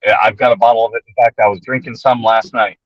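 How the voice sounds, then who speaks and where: A man's voice: mid-range voice, steady tone, fast talker, no room sound. A man joining a casual chat as a guest over a remote call, friendly and plain-spoken. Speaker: guest, military veteran. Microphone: remote call connection. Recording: dull lo-fi call audio.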